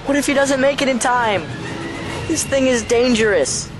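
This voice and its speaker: High-voiced troubled son played by a man, music underneath. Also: normal speed. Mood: grim, determined. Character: troubled possessed son, menacing then resigned. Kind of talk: audio drama acting.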